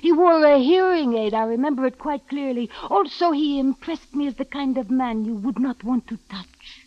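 An actress playing a reserved Egyptian woman with a formal, measured manner in 1940s radio style, on a dull old recording. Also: sits high, no room sound, natural voice. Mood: guarded, formal.

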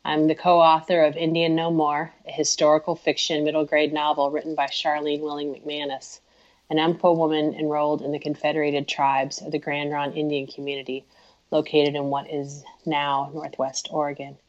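A low-pitched woman from Oklahoma, speaking as an author on a podcast. She explains patiently, in the manner of someone who talks with schoolchildren. Her audio sounds dull and lo-fi. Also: little pitch movement, normal speed. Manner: warm, explanatory, like speaking to students. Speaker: guest author. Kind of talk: podcast interview.

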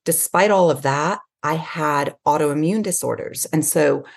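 A woman's voice: sits low, unhurried, gravelly. A woman raised in Switzerland and England, an effusive podcast guest talking about her life. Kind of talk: podcast interview.

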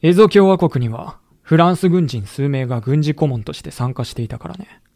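An actor playing a samurai-era veteran who narrates a historical audio drama in a chatty, wry storytelling manner. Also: male voice, a little high, animated, moderate pace, a little mellow, no echo, clean studio sound. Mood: explanatory. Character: colloquial samurai-era veteran recounting his comrades' lost war. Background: background music.

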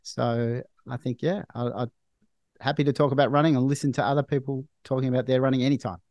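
An Australian man in his forties, a doctor being interviewed, talking in a measured, thoughtful way with frequent restarts. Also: mid-range voice, fairly even intonation, darkish tone, no room sound. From Melbourne, Australia. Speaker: guest, hospital doctor. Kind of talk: casual interview chat.

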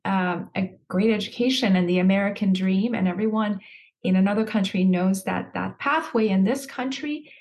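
A woman's voice: mid-range voice, normal speed, a little mellow, muffled recording. A middle-aged woman from Iran, an education nonprofit leader, answering interview questions earnestly and articulately, with fillers.

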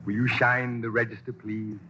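An actor playing a staid, unruffled hotel clerk, formal, polite and deadpan, in 1940s radio style. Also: male voice, medium pitch, normal speed, background noise, lightly acted. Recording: muffled old radio broadcast.

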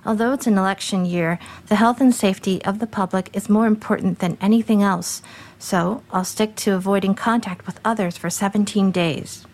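A woman's voice: mid-range voice, steady tone, normal speed, darkish tone. Female, councilor, out of breath, tired, interview soundbite. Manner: tired, matter-of-fact. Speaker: city councilor. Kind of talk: news interview clip.

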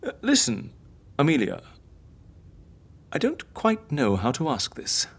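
A hesitant audio drama character with a low, husky voice. She speaks haltingly and easily gets flustered, with a lively swing in pitch. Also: heavily acted. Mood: tentative, confused. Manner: halting, stammering.

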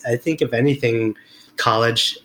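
Bright-voiced man, hesitant interview guest. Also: mid-range voice, steady tone, normal speed, low-quality recording. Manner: hesitant, many fillers and restarts. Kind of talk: reflective interview talk.

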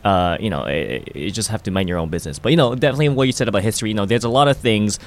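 A man's voice: on the low side, very fast. A young man from Hong Kong, with Japanese as his first language, chatting as a podcast guest, rambling with lots of "you know" fillers. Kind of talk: casual chat.

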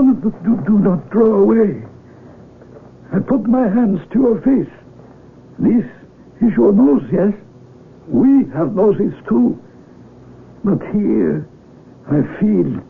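An actor playing a wise, gentle old blind village elder in a radio drama, speaking solemnly and deliberately. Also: male voice, high-pitched, gravelly, full character voice. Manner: deliberate, halting, solemn, kindly. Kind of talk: 1970s radio drama acting. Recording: tinny, noisy 1970s AM radio recording.

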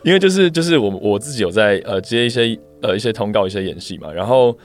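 A man's voice: fairly low pitch, expressive, quick pace. A man with a Taiwanese Mandarin accent chatting casually as a podcast co-host. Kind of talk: casual chat.

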